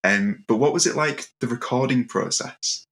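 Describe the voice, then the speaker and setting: A man's voice: mid-range voice, normal speed, fairly bright tone. A man working as an interviewer, asking questions in a casual, conversational way.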